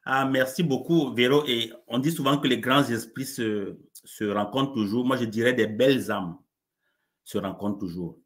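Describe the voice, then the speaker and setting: Measured man, mid-pitched. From Cameroon. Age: 40s. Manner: warm, measured, with frequent restarts. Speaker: coach and guest. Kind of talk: live online interview.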